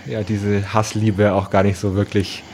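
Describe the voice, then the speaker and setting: Low-voiced man hosting a casual interview. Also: flat delivery, unhurried, darkish tone, a little hiss or hum. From Nuremberg, southern Germany. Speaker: podcast host, interviewer.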